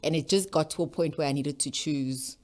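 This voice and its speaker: Low-voiced young South African woman guest. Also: a little fast. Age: young adult. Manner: relaxed, reflective, tells her story steadily. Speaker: guest, leadership coach. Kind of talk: interview storytelling.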